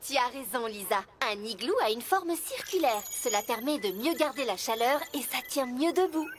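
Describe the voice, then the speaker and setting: A woman's voice: fairly high pitch, expressive, normal speed, very noisy, lightly acted. Amy, a woman voicing a patient mechanic. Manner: clear, patient, explaining. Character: friendly female mechanic helper, patient teacher. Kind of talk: French children's cartoon dub. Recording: cartoon music behind.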